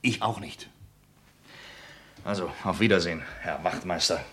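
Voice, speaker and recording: A man's voice: deep voice, a little slow, noisy background. An actor playing a resigned, bitter man in a radio play, weary and sardonic. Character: resigned, bitter man reporting to unhelpful police. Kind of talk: German radio play acting.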